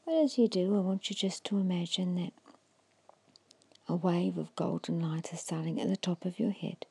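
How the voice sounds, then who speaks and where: A woman giving an ASMR guided hypnosis in a hushed, breathy whisper, slowly and soothingly instructing with long pauses. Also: lowish voice, steady tone. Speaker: hypnotist guiding a meditation.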